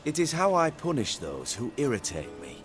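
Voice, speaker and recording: A man's voice: mid-range voice, wildly swooping pitch, moderate pace, faint music behind. An actor playing a cruel, haughty fantasy king in a video game, commanding and formal. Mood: menacing, imperious. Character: cold, imperious, cruel king of a fantasy realm. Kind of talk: dramatic video game voice acting.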